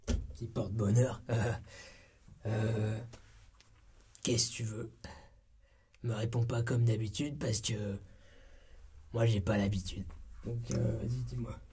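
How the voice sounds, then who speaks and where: A young man playing a cheeky, laid-back ex-con turned rookie barber in a comedy ASMR roleplay, soft-spoken and breathy, with slangy, hesitant talk. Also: fairly low pitch, level tone, slow pace, pauses often, a little hiss or hum. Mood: awkward, casual. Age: young adult. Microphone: close binaural microphone.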